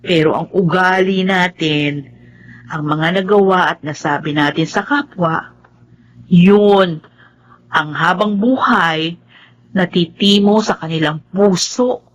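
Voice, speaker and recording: A woman playing a frank, caring, principled friend in a Filipino radio drama, giving earnest, measured advice. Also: lowish voice, poor audio. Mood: earnest, impassioned.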